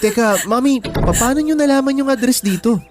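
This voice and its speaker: A man's voice: very high voice, moderate pace, noisy background. A man playing an affectionate, boyish younger brother in a Filipino radio drama, earnest, with a Filipino accent. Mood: reassuring.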